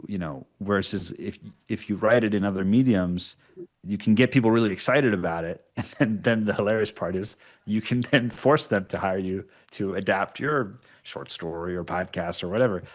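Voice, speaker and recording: Guy with a really low voice, podcast guest, chatting casually, kinda self-deprecating. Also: moderate pace. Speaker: guest writer-producer of podcast audio dramas. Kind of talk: casual interview chat.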